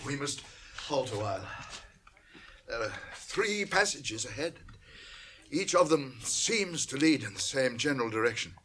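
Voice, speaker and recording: An actor playing an irascible old wizard in a classic radio drama, speaking with grave, theatrical authority. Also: male voice, medium pitch, expressive, a little slow, fairly bright tone. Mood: weary, pondering. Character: commanding, irascible old male wizard leading a weary company. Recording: 1980s radio drama, faint background noise.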